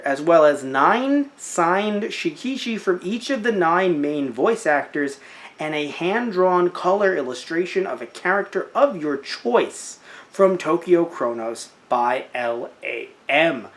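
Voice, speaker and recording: A young man co-hosting a casual podcast, animated and joking, with mocking asides. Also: on the high side, measured pace. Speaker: podcast co-host. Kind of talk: casual chat about a crowdfunding campaign.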